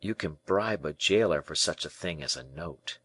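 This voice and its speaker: A man's voice: a bass voice, animated, normal speed, fairly bright tone, husky, exaggerated voice. A man reading a storybook part as a young imprisoned prince, proud, embittered and hot-blooded, in formal archaic speech. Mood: sceptical, challenging. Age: young adult. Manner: formal archaic speech, rhetorical questions, impassioned.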